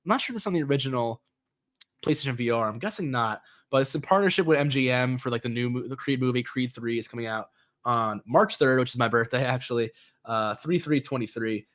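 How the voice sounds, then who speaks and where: A man's voice: mid-range voice, fairly quick, a bit bright. A young man hosting a gaming news show, chatting loosely with many fillers and restarts, sounding nasal from a cold. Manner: rambling, lots of fillers and restarts. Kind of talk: casual solo commentary.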